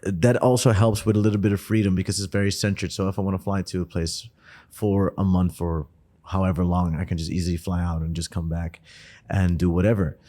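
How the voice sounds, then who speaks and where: A man's voice: level tone, brisk, mellow. A man in his twenties from the Netherlands, a native Dutch speaker, hosting a podcast in a chatty, laughing way. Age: 20s. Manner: chatty, laughing, rising into higher pitch when curious. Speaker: podcast host. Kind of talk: casual podcast interview.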